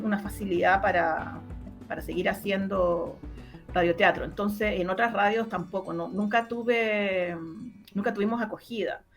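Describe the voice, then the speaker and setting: A woman with a Chilean Spanish accent answers in a conversational interview, often halting, repeating words and restarting. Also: medium pitch. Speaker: interviewed radio drama producer.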